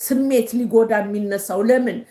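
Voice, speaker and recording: An older Ethiopian woman with an Ethiopian accent, hosting a casual livestream. Her first language is Amharic. She speaks halting English full of fillers and slips quickly into Amharic. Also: on the high side, warm tone. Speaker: livestream host. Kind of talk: casual live-stream monologue. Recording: roomy echo.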